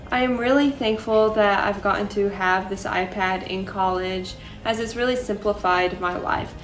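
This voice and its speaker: A woman's voice: mid-range voice, fairly even intonation, normal speed. A young woman from Kentucky, a senior student, giving a sincere, grateful testimonial. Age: young adult. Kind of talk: scripted testimonial. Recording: roomy echo with faint music.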